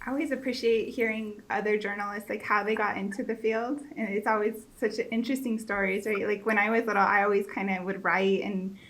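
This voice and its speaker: Woman cohost, bright sharp voice, chatty and friendly. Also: mid-range voice, fairly even intonation, a little fast, hardly any pauses. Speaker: podcast co-host. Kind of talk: casual interview chat.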